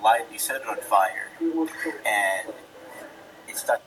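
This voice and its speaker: A man's voice: mid-range voice, lively intonation, slow pace, fairly bright tone. A young man from Zambia answering interview questions casually, with many ums and the odd laugh. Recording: distant mic, noisy ambience.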